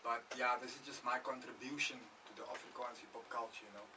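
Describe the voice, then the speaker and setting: A man's voice: medium pitch, steady tone, fast. A man with a Cape Town English accent, a rapper talking in a radio interview, laid-back, with lots of "you know" and "man". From South Africa. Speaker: rapper guest. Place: radio studio. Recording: distant mic with noisy background.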